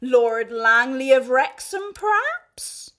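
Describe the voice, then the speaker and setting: An actress playing a scheming, deferential maidservant who speaks in flowery, coy period language in a comic radio play. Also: female voice, high-pitched, big pitch swings, fairly bright tone, full character voice. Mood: sly, coaxing. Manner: deliberate, ornate, coyly deferential. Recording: studio radio play with music.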